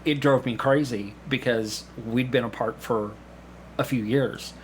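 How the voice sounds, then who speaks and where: A man speaking as a podcast guest, explaining things casually in a halting way with repeated words and false starts. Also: mid-range voice, steady tone, poor audio. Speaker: podcast guest, community theatre actor. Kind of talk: casual conversational explanation with anecdotes. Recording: clear background noise with room ambience.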